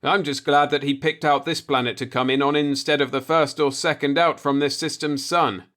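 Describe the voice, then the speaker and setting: A blunt, practical spaceship crewman, acted by a male narrator in a sci-fi storybook. He takes charge in a matter-of-fact way, with a raised pitch. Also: steady tone, normal speed, fairly bright tone, lightly acted. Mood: confident. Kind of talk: storybook dialogue in a sci-fi audiobook.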